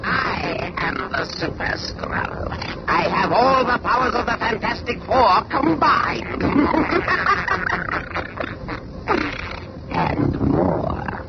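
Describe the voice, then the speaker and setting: Alien supervillain, radio drama, menacing, boastful, slow declaiming, high voice. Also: male voice, wildly swooping pitch, loud music behind the voice, exaggerated voice. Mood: gloating. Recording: noisy muffled old radio drama.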